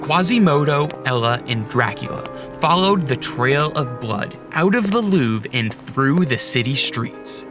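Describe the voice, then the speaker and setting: A man's voice: mid-range voice, expressive, measured pace. A man announcing and narrating a radio drama in a scripted style. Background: dramatic music.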